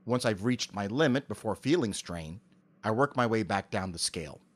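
A middle-aged man giving articulate, measured explanations as a voice actor being interviewed. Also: on the low side, animated, husky.

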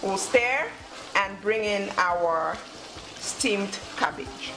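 A woman's voice: medium pitch, animated, extremely slow, background noise. A woman hosting a cooking show, giving step-by-step instructions in short phrases. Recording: music under the voice.

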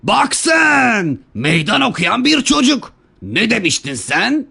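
Cartoon villain: a sardonic virus chief, scheming and mocking, raspy fry, highly animated. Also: male voice, takes it slowly, full character voice. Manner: theatrical, sardonic. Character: cocky, scheming male virus boss, mocking and easily alarmed.

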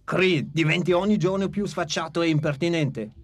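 An actor dubbing a stern, commanding male dinosaur father in a cartoon, gruff and scolding. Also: a little high, normal speed, soft background music.

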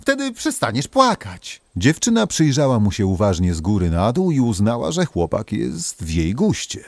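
A man narrating a folk tale as a storyteller, in an expressive, theatrical manner. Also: on the low side, normal speed, creaky, no room sound.

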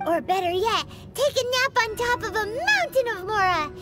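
A tiny floating fairy girl from a video game, extremely high and squeaky. She is chirpy, greedy and excitable, swinging widely in pitch, over prominent music. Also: moderate pace. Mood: delighted. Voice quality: squeaky, childlike. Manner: excitable, refers to self in third person. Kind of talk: video game character acting.

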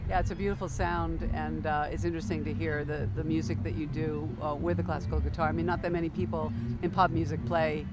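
A woman radio interviewer at an outdoor festival, asking questions in a halting way and often restarting them. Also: low voice, flat delivery, very noisy. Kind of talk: casual interview.

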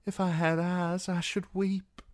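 A man voicing a broken, blinded young singer in an audiobook, pleading mournfully in a cracked, halting whisper of a voice. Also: sits high, little pitch movement, pitched above the performer's own voice. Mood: abject, tearful.

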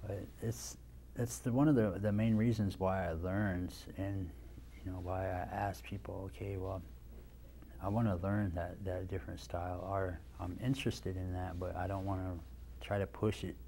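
A man in his thirties talks easily into a lapel mic on a studio set. His voice sits very low and sounds mellow. He rambles, restarting sentences and leaning on "you know", over a faint hiss behind him. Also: little pitch movement, normal speed, creaky. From Nebraska, USA. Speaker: guest, dance instructor. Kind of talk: casual interview talk.

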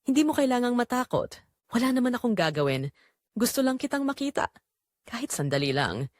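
An obsessed man stalks and coaxes with menace, acted out by a woman narrator. The delivery swings widely in pitch, recorded very dry, in the manner of an audio drama thriller. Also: big pitch swings, a little fast, dry recording. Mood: coaxing, menacing. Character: menacing obsessed male stalker, coaxing and demanding.

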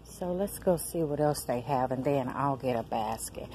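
A woman, filming a casual shopping vlog on a handheld phone, chatting excitedly to her viewers. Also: extremely low pitch, moderate pace, slight background noise, low-quality recording. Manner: chatty, excited exclamations, addresses viewers as y'all and girl. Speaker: vlogger. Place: large discount store aisles, indoors. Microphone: handheld phone camera.

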